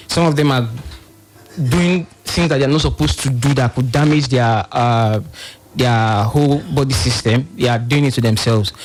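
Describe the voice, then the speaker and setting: A man's voice: medium pitch, poor audio. Hesitant Nigerian man explaining on radio. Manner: hesitant, repeats phrases. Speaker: panel guest, monitoring and evaluation officer. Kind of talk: explanatory radio interview talk.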